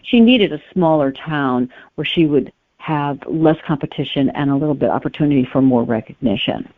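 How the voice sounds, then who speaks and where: A woman's voice: low voice, moderate pace, no echo. A woman speaking as a guest novelist in a conversational interview, explaining carefully and qualifying as they go. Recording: muffled narrow phone-line sound.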